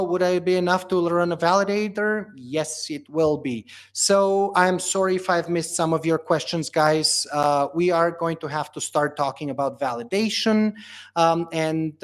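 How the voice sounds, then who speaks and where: Male founder, slightly high voice, relaxed livestream Q&A host, filler-heavy answers. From Bulgaria. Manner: casual, reads questions aloud then answers with many fillers. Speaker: company founder hosting a livestream Q&A. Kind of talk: reading out and answering viewer questions.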